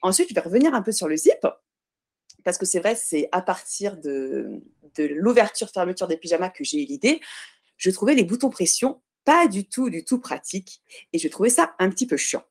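A woman's voice: mid-range voice, big pitch swings, husky. Earnest woman explaining her baby pyjamas. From Portuguese family. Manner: earnest, explanatory, self-correcting with frequent pauses. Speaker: guest, baby clothing brand founder. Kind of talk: podcast interview.

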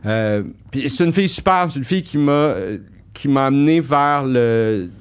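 A young man with a Québécois French accent rambles through a personal story as a podcast guest. He stops, restarts and circles back often, piling up fillers. His pitch sits at an ordinary middle height. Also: expressive, moderate pace, clean tone. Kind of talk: casual storytelling chat.

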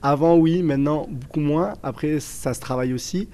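A man in his twenties from southern France, speaking with a southern French accent as a guest in a casual interview, often pausing with fillers and restarting his sentences. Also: fairly high pitch, warm tone. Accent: southern French, Toulouse area. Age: 20s. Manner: hesitant, many fillers and restarts.

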